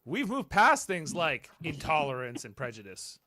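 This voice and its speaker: A man playing an earnest, resolute starship captain in amateur radio theatre, speaking in a measured way. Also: on the high side, huge pitch swings, a crowd in the background.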